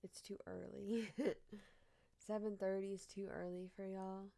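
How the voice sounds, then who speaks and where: A young woman with a deep-sounding voice, chatting casually on a live stream as she reads odd news headlines, blunt and swearing with frequent laughs. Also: level tone, measured pace, gravelly, mellow.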